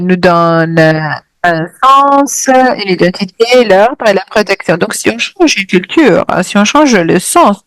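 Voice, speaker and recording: Slow, hesitant woman interpreting. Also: mid-range voice, no echo, poor audio. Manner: hesitant, full of fillers and restarts. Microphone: video call.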